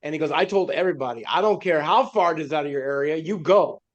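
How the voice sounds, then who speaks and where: A man's voice: on the high side, fast talker, highs cut off. An American man in casual conversation as a panelist, telling anecdotes and acting out what people said. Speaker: podcast panelist, leadership instructor.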